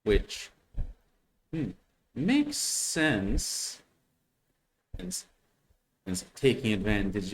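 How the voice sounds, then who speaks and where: A man's voice: fairly low pitch, a little hiss or hum, low-quality recording. A man giving casual reaction commentary, musing and hesitant as he thinks aloud. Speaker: reactor commenting on an audio drama.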